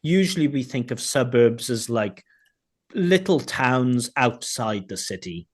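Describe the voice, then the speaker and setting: A man teaching an online exam class, chatty and lively, acting out sample answers. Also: medium pitch, measured pace. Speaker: IELTS teacher. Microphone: video call.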